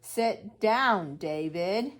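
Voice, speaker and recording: A woman voicing a stern schoolteacher in a children's picture book, giving slow, emphatic, scolding commands. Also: medium pitch, lively intonation. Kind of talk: picture-book read-aloud for young children.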